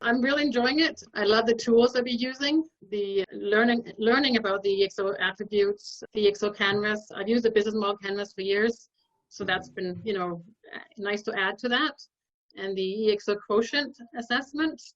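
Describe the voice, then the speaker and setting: A woman from Denmark, a business transformation consultant, answering interview questions over a video call in a relaxed, conversational way. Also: mid-range voice, normal speed, muffled recording. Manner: relaxed, conversational, frequent fillers.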